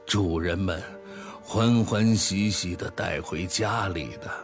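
Radio drama role: penitent male founder played by a man, deep, weighty, unhurried, confessing gravely. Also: faint music behind. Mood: solemn confession. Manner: grave, solemn, deliberate, sighing. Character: remorseful male tech founder confessing a fraud. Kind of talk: dramatic radio play monologue.

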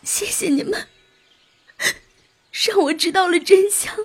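A woman's voice: very high-pitched, unhurried. A woman voicing a grieving, lovelorn young elf maiden in an audio drama. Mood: tearful, realizing. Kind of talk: Chinese audio drama acting.

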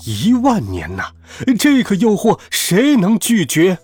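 A scheming storybook villain savors every word, drawling slowly and mockingly. A man acts him out, his voice leaping between low sneers and high, laughing triumph over soft background music. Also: very animated, husky, no room sound, full character voice. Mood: gloating. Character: gloating scheming male villain called Monkey.

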